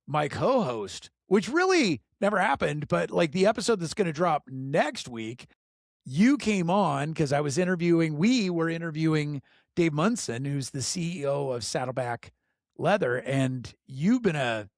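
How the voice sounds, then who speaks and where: A middle-aged man hosting a podcast in casual chat, enthusiastic, laughing often and filling pauses with lots of ums and uhs. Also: a little high, animated, no room sound, studio quality. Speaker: podcast host.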